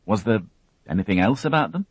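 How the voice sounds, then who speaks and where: A man voicing a gentle male police detective, asking questions in a calm, steady way. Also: fairly low pitch, a little fast, slightly rough, dry recording, pitched above the performer's own voice.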